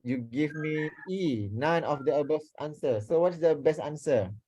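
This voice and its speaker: A man's voice: a little high, moderate pace, warm tone, muffled recording. A man with a Malaysian accent teaching an interactive online seminar, repeating himself and checking in with participants as he goes. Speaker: lecturer, workshop speaker. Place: online video call.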